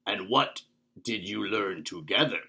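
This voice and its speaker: A man voicing a calm, instructive male martial arts master in a children's storybook. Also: on the low side, measured pace, pitched below the performer's own voice, exaggerated voice. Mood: questioning. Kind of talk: children's storybook read-aloud.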